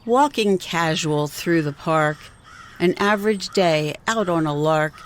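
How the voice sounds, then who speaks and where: A woman reading her own rhyming poem in a measured, rhythmic manner. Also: low-pitched. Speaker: writer reading own work.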